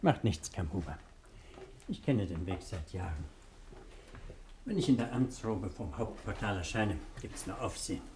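Deep, curt, dignified judge. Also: male voice, very animated, moderate pace, long pauses, darkish tone, a little hiss or hum. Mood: curt, commanding. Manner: curt, authoritative, official. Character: man posing as a dignified senior judge. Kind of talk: 1960s German radio comedy acting.

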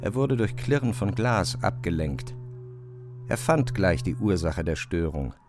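A man reading scripted literary narration as a storyteller, in a measured, deliberate way. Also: sits low, animated, a little mellow, soft background music.

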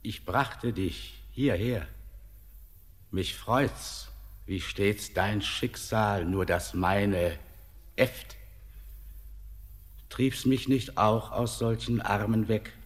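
An actor playing an ancient, eerie male miner who mocks and tempts, speaking slowly with a brooding, sardonic manner in a classic radio play. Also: lowish voice. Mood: mocking. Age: older. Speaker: old mountain spirit-miner Torbern. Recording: long reverb with music behind.

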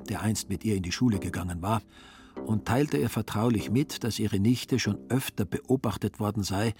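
A man narrating a literary radio drama in scripted prose. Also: on the low side. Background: music.

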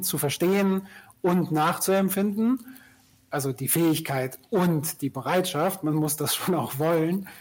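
Slightly high-voiced, careful man. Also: a little mellow. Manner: careful, articulate, earnest. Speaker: interview guest. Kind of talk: considered interview answer.

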